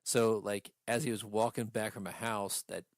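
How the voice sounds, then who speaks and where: A man chatting casually as a podcast host, ranting through a story in a profane, exasperated, animated way. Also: fairly low pitch, normal speed, dry recording, studio quality. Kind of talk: casual movie rant chat.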